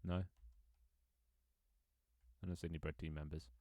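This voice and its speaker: A man's voice: extremely low pitch, level tone, moderate pace, dark tone, no echo, studio quality. A man in his twenties streaming his own game, chatting casually with viewers, rambling with frequent ums and restarts. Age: 20s. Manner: rambling, lots of ums and restarts, reads chat aloud. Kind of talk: casual live-stream chat.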